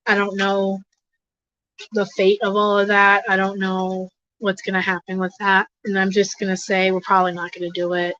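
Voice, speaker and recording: Hoarse congested woman rambling at her desk, kinda dull dry sound. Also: medium pitch, monotone, moderate pace, fairly bright tone, no echo. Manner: rambling, many fillers and restarts. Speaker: host of an advocacy livestream. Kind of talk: casual livestream chat. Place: home desk.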